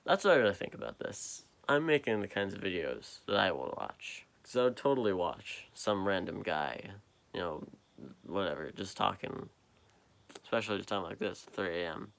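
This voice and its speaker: A tired young man with a deep voice, rambling slowly in a late-night vlog, halting and full of fillers, recorded on a propped-up phone in a quiet basement. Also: big pitch swings, gravelly. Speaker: vlogger. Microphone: phone propped up nearby.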